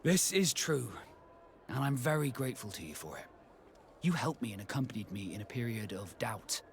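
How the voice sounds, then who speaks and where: An actor playing a proud, reserved male ship captain in a video game, speaking in a measured, formal and courteous way. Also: mid-range voice, sing-song, gravelly, slight background noise. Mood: earnest, grateful. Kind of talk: video game dialogue acting.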